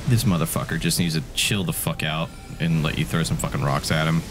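A man bantering casually as he comments on a friend's video game, joking and swearing freely in an excitable way. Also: rumbling low, brisk. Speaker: co-host commenting on a friend's gameplay. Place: indoor room, webcam setup beside another player. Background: game music.

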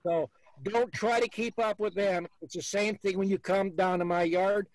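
A man's voice: high-pitched, steady tone, moderate pace, a little mellow, low-quality recording. A man, hosting a beekeeping video call and giving blunt, folksy advice. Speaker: beekeeper host.

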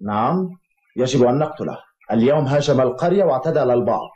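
A man's voice: medium pitch, animated, fast, dark tone, echoey room, dull audio, natural voice. A man playing an earnest, determined hunter in a dubbed cartoon, speaking with urgency and emphasis. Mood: stern.

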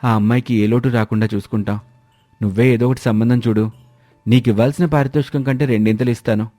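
A man playing a hardworking, dutiful younger brother who farms, speaking earnestly and reassuringly in an animated moral story. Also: medium pitch, fast talker, no echo, soft background music, natural voice.

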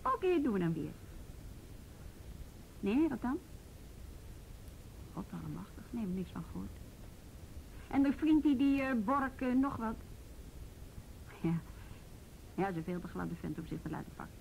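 A woman's voice: sing-song, measured pace, gravelly, pauses often, a little mellow, lo-fi sound, full character voice. An actress playing a wry, outspoken female police bureau chief in a radio drama, talking in a dry, plain-spoken way. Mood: casual, concerned. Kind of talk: naturalistic Dutch radio drama acting. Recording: rumbly radio play with faint noise.

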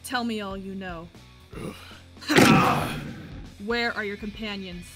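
A woman playing a cold, vengeful young avenger in a dramatic manga dub, speaking with deliberate, menacing intensity. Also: mid-range voice, fairly even intonation, loud music behind the voice, close to an everyday voice. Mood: cold menace.

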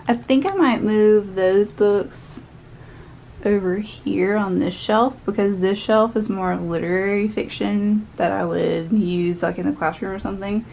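A woman's voice: medium pitch, steady tone, a little slow, vocal fry, a little mellow, a little hiss or hum. Chatty young woman tidying her office. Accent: Southern American. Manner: chatty, upbeat, thinks aloud while tidying. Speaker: vlogger. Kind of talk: casual cleaning and haul vlog. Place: small home office, indoors.

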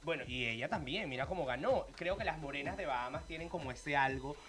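A man's voice: on the high side, quick pace, fairly bright tone. A man who works as a makeup artist, co-hosting an enthusiastic, gushing chat in a small studio with a handheld mic. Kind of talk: casual pageant chat.

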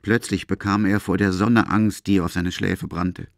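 A man's voice: sits low, level tone, moderate pace, no room sound, studio quality. A man narrating a literary audio drama from a script.

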